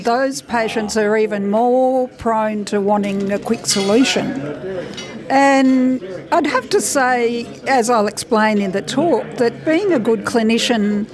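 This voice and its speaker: A woman, a doctor, giving measured, explanatory answers in a conversational interview. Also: on the high side. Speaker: doctor and guest speaker being interviewed.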